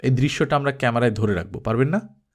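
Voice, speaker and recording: A man playing an icily calm male film director. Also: medium pitch, quick pace, lightly acted. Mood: quietly commanding. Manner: unruffled, polite, coolly sardonic. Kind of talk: audiobook character acting.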